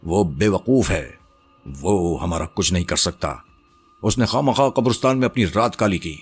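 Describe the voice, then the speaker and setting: Man doing a menacing possessing spirit, low husky voice, slow imperious threats, audio story, music behind. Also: lively intonation. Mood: threatening. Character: menacing, arrogant male spirit speaking through possessed woman.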